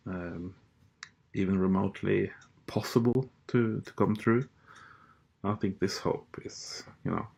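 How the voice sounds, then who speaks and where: A man whose first language is Norwegian, talking alone to his viewers in a slow, hesitant way, with many fillers and restarts. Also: low voice, vocal fry, a little mellow. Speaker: vlogger. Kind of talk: casual monologue rant.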